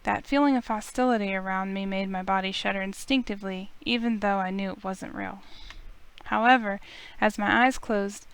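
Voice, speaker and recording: A woman with a smooth, clear voice, reading a visual novel aloud as expressive first-person story narration. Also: mid-range voice, moderate pace.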